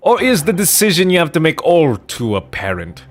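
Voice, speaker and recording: Man acting a pompous, haughty prosecutor with animated pitch. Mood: cold scorn. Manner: pompous, drawn-out, disdainful delivery. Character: haughty, sneering male prosecutor lord, cold and theatrical.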